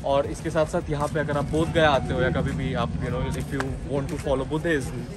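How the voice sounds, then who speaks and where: A man's voice: a little high, flat delivery, normal speed. A young man with an Indian accent, giving casual travel commentary on a train journey and switching between Hindi and English mid-sentence. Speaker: travel vlogger. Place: on a train and station platform. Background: loud background music.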